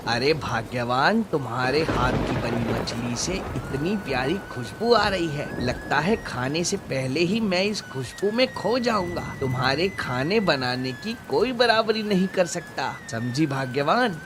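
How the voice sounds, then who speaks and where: A man voicing a cheerful, carefree village fisherman husband in an expressive, affectionate Hindi cartoon style. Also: fairly high pitch, a little slow, hardly any pauses, noisy background.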